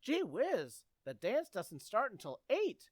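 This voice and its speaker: A woman's voice: a little high, huge pitch swings, slow, dry recording, clean studio sound, heavily acted. A woman acting an earnest, polite, gee-whiz teenage boy in a radio comedy.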